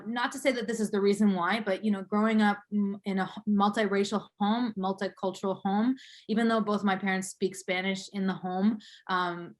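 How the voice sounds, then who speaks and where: A woman in her thirties from Boston, telling her life story as an interview guest, reflective and full of fillers and small laughs. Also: mid-range voice. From Boston, USA. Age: 30s.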